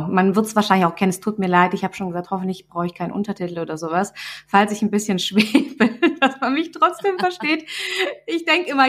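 A woman from southern Germany with a Swabian accent, talking casually as a podcast guest. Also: lowish voice. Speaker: podcast guest, company founder. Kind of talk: casual conversational anecdotes.

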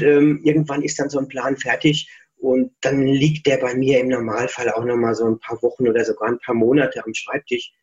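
A middle-aged man chats casually in an interview, thoughtful and self-deprecating. His voice sits at an ordinary pitch. The recording is a little dull and lo-fi. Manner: chatty, reflective, self-deprecating. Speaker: author interview guest.

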